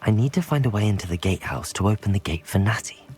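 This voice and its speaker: An actor playing a resolute, quietly intent young student wizard in a video game. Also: low voice, lively intonation, fast. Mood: focused. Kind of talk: cinematic video game voice acting. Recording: loud game music behind.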